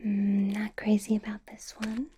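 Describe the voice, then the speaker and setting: A woman's voice: mid-range voice, completely flat delivery, measured pace, slight background noise, natural voice. A woman whispering as a possessive, menacing female vampire boss in an ASMR roleplay, sweet on the surface with a threatening edge. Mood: sweetly menacing. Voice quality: soft unvoiced whisper.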